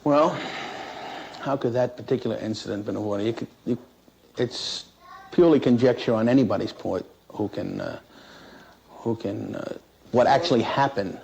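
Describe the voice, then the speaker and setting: A man who works as an air traffic controller recounts his experience in an interview in a halting, plain-spoken, matter-of-fact way. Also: fairly low pitch, vocal fry, a little mellow. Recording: noisy 1960s interview recording.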